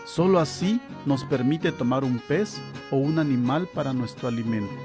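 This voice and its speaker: A man narrating a scripted legend in a calm, measured way. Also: mid-range voice. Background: guitar music.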